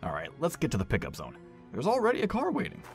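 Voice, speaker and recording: A man's voice: fairly high pitch, wildly swooping pitch, quick pace, faint music behind. A man playing an easygoing, chatty male friend in an audio drama, slangy and mildly griping. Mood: casual, teasing.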